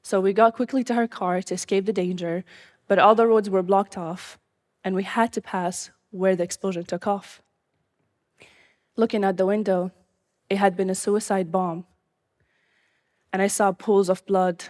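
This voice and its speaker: A woman's voice: mid-range voice, steady tone, a little mellow. Young woman telling her own story. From Syria. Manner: earnest, measured storytelling with occasional laughs. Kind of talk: live personal storytelling.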